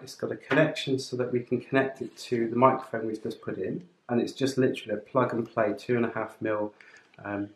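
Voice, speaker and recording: A man giving a chatty, informal step-by-step tutorial while working with his hands. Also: medium pitch. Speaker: presenter of a motorcycle gear channel. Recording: roomy indoor sound, clear background noise, clicks.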